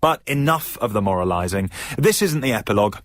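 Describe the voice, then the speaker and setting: A man playing a wry, reflective comedian in a measured radio drama monologue. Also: on the low side, animated, no room sound, clean studio sound, lightly acted.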